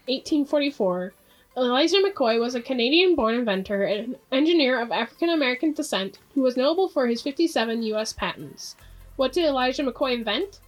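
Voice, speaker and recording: A woman reads a prepared podcast segment with a very animated, swinging intonation. Her voice sits a bit high, and music plays softly behind it. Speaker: podcast host. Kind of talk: scripted read-aloud segment.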